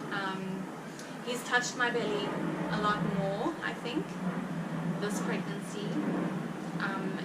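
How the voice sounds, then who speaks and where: A heavily pregnant woman with a South African Afrikaans accent, chatting casually to her viewers in a rambling way with many fillers and quick laughs. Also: medium pitch. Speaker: vlogger. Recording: roomy, distant mic with background noise.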